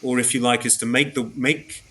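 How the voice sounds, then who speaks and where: A man giving an academic explanation in an interview, measured and hesitant, working step by step through examples. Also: medium pitch, flat delivery, a bit bright. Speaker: podcast guest, philosopher of biology.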